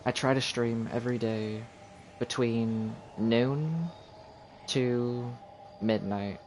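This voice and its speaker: A man's voice: mid-range voice, slow pace, slight background noise. A man streaming a video game, chatting casually and thinking aloud as he plays. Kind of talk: casual gameplay commentary. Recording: game music loud behind.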